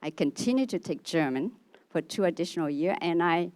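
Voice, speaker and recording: A woman's voice: on the low side, expressive, brisk, darkish tone. A middle-aged woman whose first language is Vietnamese speaks from a conference audience, chatty and hesitant with uhs and ums, into a handheld mic. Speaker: audience member asking a question. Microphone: handheld microphone.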